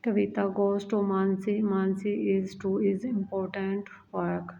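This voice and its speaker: A woman narrating a moral story from a script, reading haltingly with stumbles. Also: medium pitch, level tone, vocal fry, music in the background. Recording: dull, muffled audio with faint noise.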